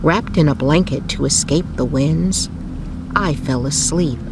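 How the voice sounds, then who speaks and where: Slow, low-voiced woman narrating a bedtime story. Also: noisy background. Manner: measured, literary read-aloud delivery.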